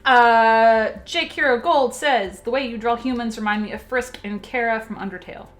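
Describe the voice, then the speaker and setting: Animated young woman reading stream chat. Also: fairly high pitch, moderate pace. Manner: chatty, animated, lots of fillers and giggles. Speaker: stream co-host. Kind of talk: casual chat, reading chat messages. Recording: faint music behind.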